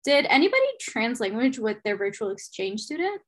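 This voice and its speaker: A woman's voice: fairly high pitch, very animated, fairly quick. A young woman, an education student, leading the podcast discussion in an encouraging way. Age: young adult.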